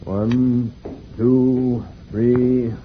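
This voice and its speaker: A man playing a calm, measured leader of young space adventurers in an old-time radio serial, instructing the others. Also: medium pitch. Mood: directing, focused. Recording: tinny noisy old radio recording.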